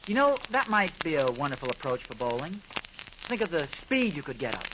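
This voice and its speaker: A man's voice: fairly high pitch, big pitch swings, a little fast. A man acting a defensive, stubborn middle-aged husband and father in an old-time radio situation comedy. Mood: musing. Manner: grumbling, protesting, self-justifying. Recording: muffled, crackly old radio recording.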